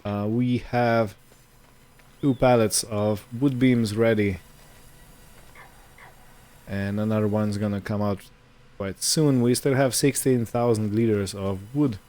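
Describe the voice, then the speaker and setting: A man giving casual roleplay gameplay commentary in a hesitant, rambling, unhurried manner. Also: on the low side, fairly even intonation, a little mellow. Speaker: gaming video host.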